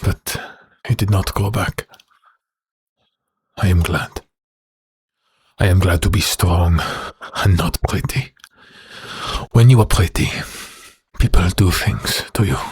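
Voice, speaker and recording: A huge, menacing werewolf, low-voiced and heavily husky. He talks slowly and haltingly, with wounded brooding, as in a dramatic audio play, recorded close and dry. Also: a little mellow, dry recording, exaggerated voice. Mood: brooding confession. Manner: slow, halting, deliberate delivery.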